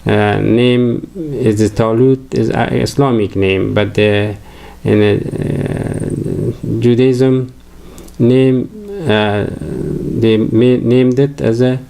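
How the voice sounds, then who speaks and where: An older man from Afghanistan with an Afghan accent, a native Farsi speaker, giving a halting religious lecture full of fillers and restarts. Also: medium pitch, vocal fry, mellow. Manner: hesitant, many fillers and restarts.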